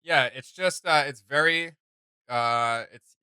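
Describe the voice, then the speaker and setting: A man's voice: on the high side, slow, bright, forceful tone, clean tone, no room sound, clean studio sound. A man chatting casually and enthusiastically as a reaction host, rambling and slangy. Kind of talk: casual enthusiastic fan chat.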